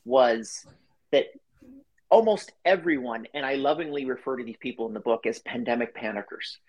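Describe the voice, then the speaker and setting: A man speaking as a guest on a podcast, telling stories quickly and casually and laughing easily. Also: medium pitch, slightly rough, muffled recording. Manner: quick, chatty storyteller who laughs easily. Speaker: podcast guest, company founder. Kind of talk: casual interview talk.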